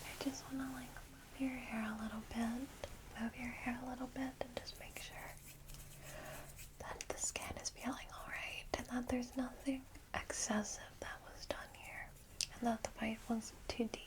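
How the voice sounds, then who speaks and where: A woman playing a friendly, caring vampire guide in a soft, breathy ASMR whisper, gentle and informal. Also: on the high side, flat delivery, moderate pace, close to an everyday voice. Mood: gentle, attentive. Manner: gentle, hesitant, reassuring, informal.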